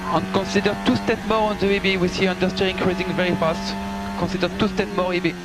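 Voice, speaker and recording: A man with a fairly high voice works as a race engineer on team radio. He speaks in short, clipped instructions and repeats them. There is loud music-like sound and vehicle noise behind him. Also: flat delivery, fast talker.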